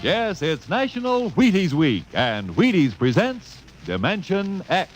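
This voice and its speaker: A man's voice: high voice, sing-song, a little slow, soft background music. A man delivering an old-time radio commercial as announcer, with folksy, exuberant salesmanship. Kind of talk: 1950s radio advertising pitch.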